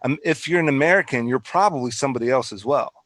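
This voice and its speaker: A man hosts a casual podcast and thinks aloud, tripping over words as he goes. His voice is mid-pitched and recorded dry and close, with no room sound. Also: quick pace, no echo. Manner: rambling, stumbling, animated. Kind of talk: casual interview talk.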